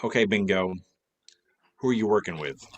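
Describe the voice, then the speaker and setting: A man's voice: fairly low pitch, moderate pace, close to an everyday voice. A man playing a wisecracking, commanding former private detective with a clipped, interrogating manner in an old-time radio play. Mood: pressing.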